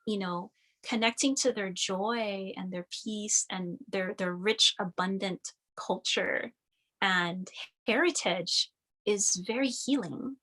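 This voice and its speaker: A woman from Cameroon speaking as a guest in a reflective spiritual conversation, affirming and searching gently for words. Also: medium pitch, slow pace, poor audio.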